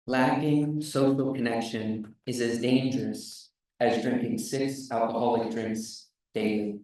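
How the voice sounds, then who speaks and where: A man delivers an earnest prepared pitch as a nonprofit founder, weaving in a personal story. His mid-range voice sounds far off in a very echoey hall. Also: steady tone, measured pace, a little mellow. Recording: echoey hall, distant mic.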